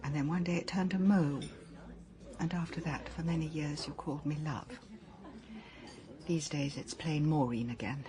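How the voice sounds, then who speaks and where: An actress playing an older wife left at home, speaking in a hesitant, halting, often hushed way. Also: female voice, sits low, steady tone, crowd noise, lightly acted. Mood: resigned. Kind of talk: radio drama acting.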